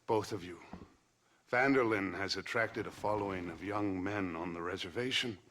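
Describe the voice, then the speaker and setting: Low-voiced, solemn guide. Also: male voice, fairly bright tone, slight background noise. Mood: firm, matter-of-fact. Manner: measured, grave, direct. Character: solemn, plain-spoken Native man from a reservation. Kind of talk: restrained video game acting.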